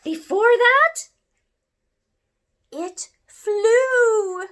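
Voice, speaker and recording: A woman voicing an excitable elderly great-great-aunt who once built planes, exuberant and cheering. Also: extremely slow, heavily acted. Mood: joyful. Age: older. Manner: exuberant, cheering, high and loud.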